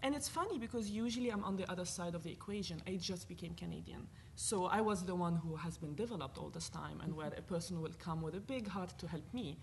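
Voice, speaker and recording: A woman's voice: fairly low pitch, fast talker, few pauses, slight background noise. Earnest Lebanese-accented woman panelist. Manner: earnest, animated, thinks aloud with fillers. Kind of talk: panel discussion talk. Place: university panel event.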